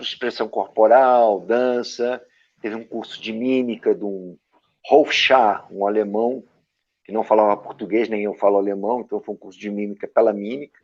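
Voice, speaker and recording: A man from Brazil, an actor and director, telling stories from his career as an interview guest, with a lively anecdotal manner and frequent tag questions. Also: on the low side. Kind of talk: storytelling interview answers. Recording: dull lo-fi audio.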